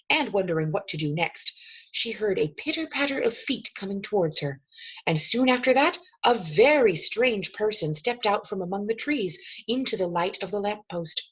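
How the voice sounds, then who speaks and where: Animated, husky woman reading a storybook. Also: mid-range voice, lo-fi sound. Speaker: storyteller reading a children's novel aloud. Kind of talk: expressive storybook narration.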